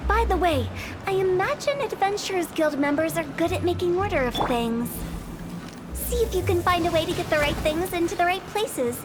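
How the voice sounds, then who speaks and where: Hu Tao, a high, teasing young mortician. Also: female voice, animated, normal speed. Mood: breezy. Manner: teasing, breezy, mock-ominous. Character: playful, mischievous young female funeral director. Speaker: game character. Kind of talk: video game voice acting. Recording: game audio with background music.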